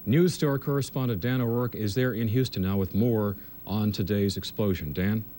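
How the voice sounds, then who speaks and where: A male news anchor with an American accent and a fairly low voice delivers scripted television news. The old broadcast recording carries a faint rumbling noise. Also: normal speed, mellow.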